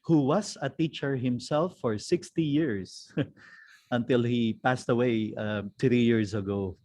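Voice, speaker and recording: A man in his fifties from the Philippines tells his story of faith in an interview, at an even middle pitch. He chuckles often between his sentences and pauses on small fillers. The sound is dry, with no room echo. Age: 50s. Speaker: guest, teacher and character educator. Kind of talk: recorded online interview, candid personal testimony.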